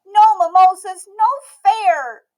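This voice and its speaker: Woman voicing a high-pitched stubborn little girl. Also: takes it slowly, mellow. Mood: sulky, indignant. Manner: emphatic, pleading, pouty. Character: stubborn, eager little girl who loves trees.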